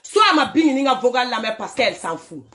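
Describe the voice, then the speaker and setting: A woman in casual online commentary, her fairly high voice swinging widely in pitch. She talks animatedly and scolds, often breaking into laughter. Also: very animated, fairly quick, bright, forceful tone. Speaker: social media commentator.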